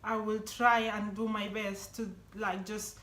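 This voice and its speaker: A woman's voice: medium pitch, flat delivery, measured pace, a bit bright. A young woman whose first language is not English, chatting casually with a friend, peppering her talk with "like" and "actually". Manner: chatty, frequent "like" and "actually", laughs often. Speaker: vlog host. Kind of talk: casual chat. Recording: echoey room.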